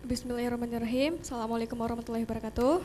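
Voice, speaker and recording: A woman's voice: a little high, steady tone, very fast, crowd noise. A young university student woman from Aceh, introducing herself formally with an Islamic greeting. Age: young adult. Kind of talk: self-introduction on a talk show.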